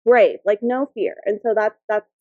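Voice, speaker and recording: A woman's voice: mid-range voice, steady tone, normal speed, no echo, phone-quality sound. A woman in her thirties, co-hosting a casual podcast, chatty and giggly, full of "like". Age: 30s. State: new mother of a ten-week-old. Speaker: podcast co-host. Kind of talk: casual chat.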